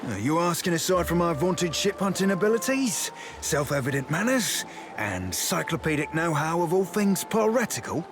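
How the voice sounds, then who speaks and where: An actor playing a cocky, foul-mouthed little pirate rogue in a video game, talking in salty, swaggering pirate dialect. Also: male voice, a little high, sing-song, normal speed, over music. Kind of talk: video game character dialogue.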